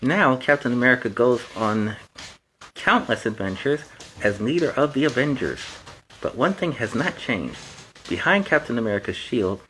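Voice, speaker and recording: A man reads a children's storybook aloud in a relaxed, casual way, stopping now and then for playful little asides. His voice sits at an ordinary middle pitch, neither high nor low. He keeps the read passages and the chat in between in the same friendly tone. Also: moderate pace, slight background noise, rough recording. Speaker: storyteller in a Spider-Man persona.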